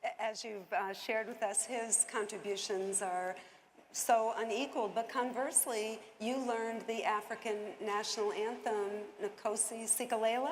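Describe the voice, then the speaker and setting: A woman's voice: on the high side, steady tone, a little slow, a little hiss or hum. Woman moderating an on-stage interview. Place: conference stage.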